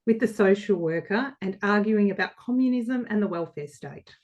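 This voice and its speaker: A woman's voice: medium pitch, moderate pace, darkish tone, highs cut off, close to an everyday voice. A woman plays a no-nonsense hospital assistant in a stage comedy. She is nagging and matter-of-fact, a little dim, with a mid-range voice. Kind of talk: comic stage play reading.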